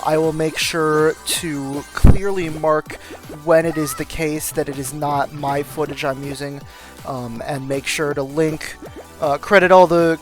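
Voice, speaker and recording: A narrator reading a scripted video game review as the host, with dry, self-deprecating humour. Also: medium pitch.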